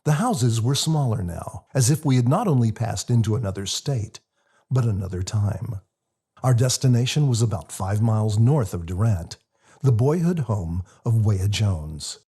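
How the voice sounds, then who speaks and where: Husky, low-voiced man narrating. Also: animated, normal speed, dark tone. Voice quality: husky, gravelly. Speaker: author reading own book. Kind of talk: scripted audiobook narration.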